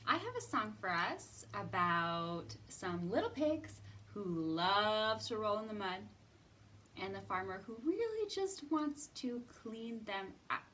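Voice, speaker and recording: A woman hosting a children's storytime, reading and counting in an expressive, sing-song way with drawn-out words. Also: medium pitch, a little hiss or hum. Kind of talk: expressive read-aloud and counting for young children. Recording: somewhat distant from the mic.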